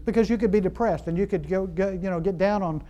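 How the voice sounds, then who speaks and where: A man preaching a sermon in a loose, conversational way, with many restarts and fillers and bursts of excitement. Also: high-pitched, fast, muffled recording. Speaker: pastor.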